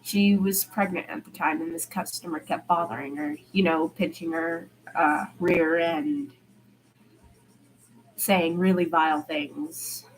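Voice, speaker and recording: A woman voicing a soft-spoken, bashful young male student in a story, polite and a little hesitant. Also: deep voice, fairly even intonation, a little slow, rough recording. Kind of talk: amateur fiction character dialogue.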